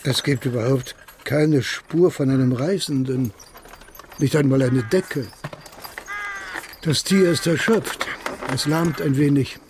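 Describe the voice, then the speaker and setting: A man's voice: medium pitch, takes it slowly, pauses often, darkish tone, slight background noise. An actor playing a polite rural man in a radio drama, courteous and matter-of-fact in manner. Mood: polite, concerned. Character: polite rural man reporting a stray horse and cart.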